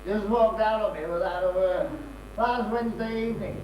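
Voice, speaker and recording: A man's voice: sits high, steady tone, normal speed, lo-fi sound, lightly acted. A man with a British accent acting a rambling, self-pitying drunken man in an amateur stage comedy. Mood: aggrieved. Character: maudlin, rambling drunken man abandoned by his partner. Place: small theatre stage. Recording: echoey, distant stage recording with hum.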